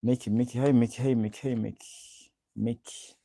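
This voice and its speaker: A man's voice: mid-range voice, fairly even intonation, normal speed, creaky, mellow, no room sound. A young man from Uganda hosting a casual livestream, greeting viewers by name and repeating his questions. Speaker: livestream host. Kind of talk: casual livestream chat reading comments.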